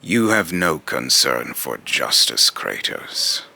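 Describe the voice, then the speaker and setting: Grave, condescending god of vengeance, played by a man: extremely low, forceful voice, solemn and accusing delivery. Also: very animated, creaky, heavily acted. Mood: scornful, accusing. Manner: slow, solemn, deliberate, taunting rhetorical questioning. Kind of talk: amateur voice-acting monologue with retakes.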